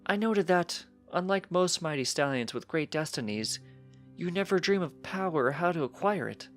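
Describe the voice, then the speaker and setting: A narrator voicing a stately, inquisitive pony princess with formal, probing, deliberate speech in a fantasy story reading. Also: mid-range voice, expressive, soft background music, voice pushed up, close to an everyday voice.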